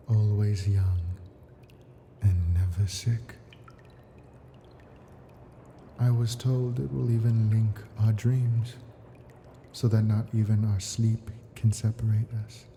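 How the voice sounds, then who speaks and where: A man's voice: on the low side, gravelly, dark tone, a little hiss or hum. A man voicing an ancient, possessive dragon captor in an ASMR fantasy roleplay, coaxing and imperious in formal storybook words. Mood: doting, sinister.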